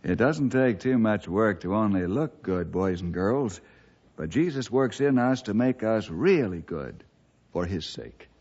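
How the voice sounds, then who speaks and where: A man's voice: fairly low pitch, expressive, unhurried, darkish tone, slight background noise. A man giving scripted narration as the narrator of a children's radio drama. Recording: dull lo-fi radio recording.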